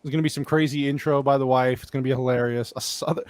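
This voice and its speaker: A man's voice: medium pitch, fast, dry recording. A man co-hosting a podcast in casual, joking chat, rambling and swearing freely as he talks. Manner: animated, rambling, profane. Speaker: podcast co-host.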